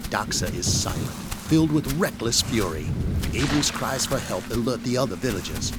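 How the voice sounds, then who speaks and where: A man's voice: mid-range voice, expressive, moderate pace, very noisy. A man giving scripted narration as the narrator of an audio drama.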